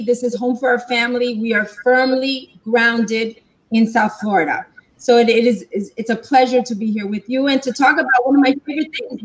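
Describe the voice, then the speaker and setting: A woman's voice: a little high, low-quality recording. A woman from Cuba, a guest on a talk show, telling her family's story in a casual interview.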